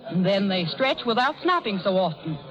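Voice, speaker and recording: Actress as a knowing fashion columnist, muffled recording. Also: female voice, mid-range voice, big pitch swings, normal speed, crowd noise, lightly acted. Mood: helpful. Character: knowing, chic fashion columnist in a soap advertisement. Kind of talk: 1930s radio commercial acting. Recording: muffled vintage radio recording.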